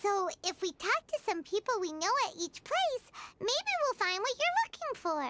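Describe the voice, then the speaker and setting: Tiny cheeky game fairy, super squeaky high, chatty, bouncy pitch, noisy audio. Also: female voice, big pitch swings, normal speed. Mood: cheerful, caring. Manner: chatty, refers to self in third person. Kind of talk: video game voice acting.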